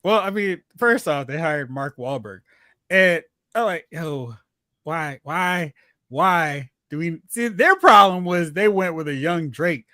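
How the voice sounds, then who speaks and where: A man hosting a casual panel chat in a slangy, animated way. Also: on the high side, slow, dry recording, studio quality. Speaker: podcast host. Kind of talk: casual panel chat about pop culture.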